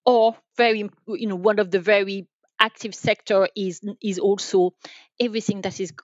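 A woman's voice: medium pitch, steady tone, normal speed, dry recording, muffled recording. A woman from France with a French accent, giving conversational answers as a podcast interview guest. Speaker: podcast guest, telecom sales manager.

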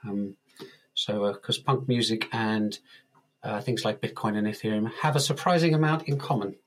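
A man being interviewed as a guest author, talking in a relaxed, easygoing way and chuckling now and then. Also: fairly low pitch, normal speed, low-quality recording. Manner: relaxed, chuckles often, uses analogies. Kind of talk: casual interview talk.

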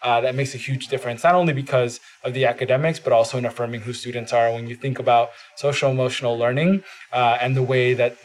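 A man whose first language is Spanish, talking earnestly as a podcast guest in a studio, with frequent "uh" fillers. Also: medium pitch. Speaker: podcast guest, bilingual education advocate. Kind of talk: casual interview talk.

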